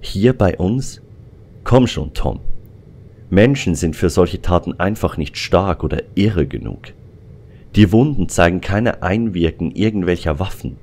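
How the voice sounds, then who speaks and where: An actor playing a weary, troubled coroner in a German audio drama, speaking in a deliberate, brooding, clinical way. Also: male voice, deep voice, expressive, a little mellow. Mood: weary, baffled. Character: weary, troubled male small-town coroner. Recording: echoey hall reverb, music behind.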